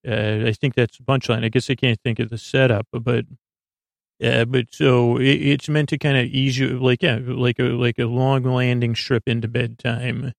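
A man hosting a bedtime podcast in a meandering, halting monologue that trails off into tangents. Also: mid-range voice, little pitch movement, creaky, no room sound. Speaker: podcast host.

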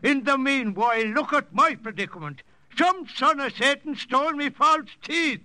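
A man's voice: very high-pitched, normal speed, sharp tone, no echo, heavily acted. An actor playing a blustery, folksy older Irish priest who has lost his false teeth, in an old-time radio drama. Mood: indignant.

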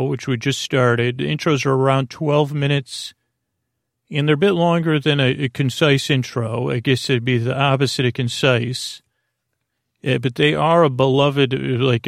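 A man with a creaky voice hosting a sleep podcast in a lulling, friendly, meandering and halting way. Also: mid-range voice, no echo, clean studio sound. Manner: lulling, meandering, circular tangents. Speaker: podcast host. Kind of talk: rambling bedtime sleep podcast monologue.

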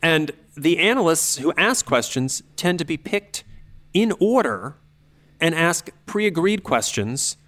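A man speaking as an expert podcast host, explaining things in an articulate, analytical and measured way. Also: on the high side, sing-song, fairly bright tone.

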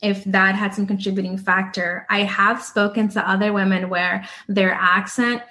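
A woman hosting an interview, asking measured questions and encouraging her guest. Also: medium pitch, level tone, sharp tone, slight background noise. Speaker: podcast host. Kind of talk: interview conversation.

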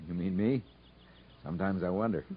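Husky, stubborn young Southern country fellow. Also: male voice, sits low, normal speed. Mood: tender, easygoing. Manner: folksy, plain, stubborn. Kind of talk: 1950s American radio drama acting. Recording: dull 1950s radio broadcast recording.

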